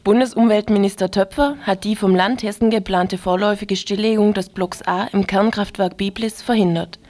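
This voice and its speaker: Mid-pitched female radio newsreader. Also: fairly even intonation, moderate pace, a little mellow. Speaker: radio news presenter. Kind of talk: scripted news reading.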